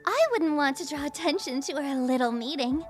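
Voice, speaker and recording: A woman's voice: high-pitched, animated, soft background music. A woman playing a playful, taunting young female trickster in an ASMR audio roleplay, with a coy, mock-innocent way of drawing out her words. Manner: teasing, coy, mock-innocent, drawn-out phrasing. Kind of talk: ASMR audio roleplay, scripted character acting.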